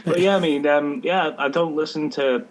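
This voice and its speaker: A British man on a football chat show, talking in a monotone and hesitating often. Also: a little high, moderate pace. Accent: British English. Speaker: show regular, social media head.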